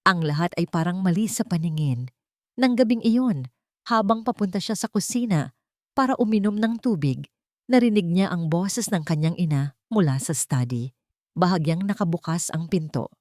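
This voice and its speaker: A woman narrating a scripted romance drama story in a steady, measured reading. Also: on the low side, no echo, studio quality.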